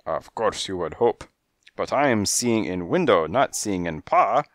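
A man's voice: on the low side, very animated, no room sound, clean studio sound, heavily acted. A man voicing a theatrical, touchy female cat fortune-teller who speaks broken English with grand drama. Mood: indignant. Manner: broken English, dramatic, quick to take offence. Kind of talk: storybook character voice.

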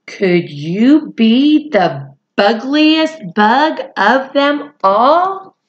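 Middle-aged woman, children's read-aloud, very unhurried, lively intonation, expressive, chatty asides, muffled lo-fi home recording. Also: on the high side. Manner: expressive, drawn-out delivery addressed to young children. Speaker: storyteller reading to children. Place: small indoor room at home.

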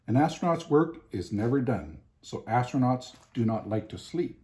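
Man reading a storybook, mellow voice. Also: medium pitch. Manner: expressive, deliberate with emphatic pauses. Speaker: storybook reader. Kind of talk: children's picture book read-aloud. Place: home living room, indoors.

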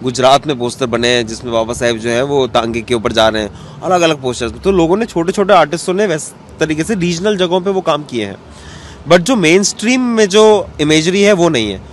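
A man's voice: on the high side, animated, fairly quick. A man in his thirties, a cartoonist guest, answering interview questions at length and mixing in English terms, recorded outdoors on a handheld mic. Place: outdoors in an open courtyard. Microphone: handheld mic held out by interviewer. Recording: faint outdoor street noise.

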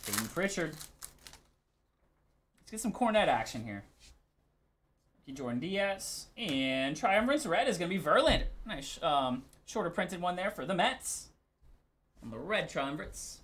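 A man's voice: high voice, sing-song, measured pace, pauses often, a bit bright. A man hosting a live sports card break, giving casual, laid-back commentary in short clipped call-outs.

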